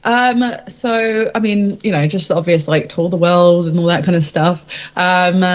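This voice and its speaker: A woman's voice: mid-range voice, highs cut off. A woman singer-songwriter chatting casually in an interview, candid and hesitant as she thinks aloud.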